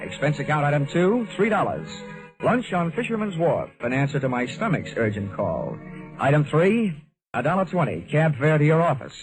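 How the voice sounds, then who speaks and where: A man's voice: on the high side, very animated, normal speed, faint music behind, poor audio. An American man playing a wisecracking insurance investigator with a wry delivery in an old radio detective drama. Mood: dry narration. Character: wisecracking male freelance insurance investigator, dry and brisk. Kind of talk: 1940s American radio detective acting, first-person narration. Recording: vintage radio broadcast recording.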